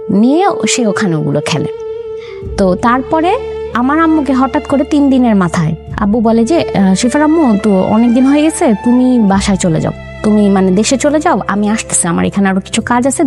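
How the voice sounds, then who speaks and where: A young woman with a higher-pitched voice, speaking as an interview guest. She tells a personal family story earnestly, slipping into her parents' words as she goes. A clear music bed plays beneath her voice. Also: animated, moderate pace. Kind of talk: recounting a life story.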